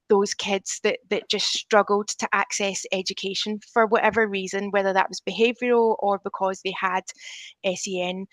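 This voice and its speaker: A woman's voice: medium pitch, no echo. A middle-aged woman with a Scottish accent, a teacher speaking earnestly and emphatically in a discussion over a remote link. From Scotland. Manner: earnest, emphatic about children's needs. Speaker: teacher at a specialist school. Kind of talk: conversational discussion.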